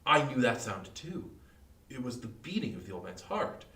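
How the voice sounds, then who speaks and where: A man playing a nervous, gloating murderer in a theatrical, halting horror reading that swings from hushed to frantic. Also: mid-range voice, sing-song. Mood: hushed, intense. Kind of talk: dramatic reading of Victorian gothic horror. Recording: roomy, echoing, distant-mic livestream.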